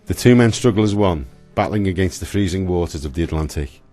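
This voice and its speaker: A man's voice: deep voice, a little fast, warm tone, faint music behind. A man giving dramatic scripted narration for a historical radio play.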